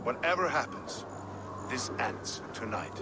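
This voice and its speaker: Male actor for grim tactician, game cutscene. Measured, medium-pitched voice over clear outdoor noise. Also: lively intonation, close to an everyday voice. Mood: grim resolve. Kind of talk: dramatic video game cutscene acting.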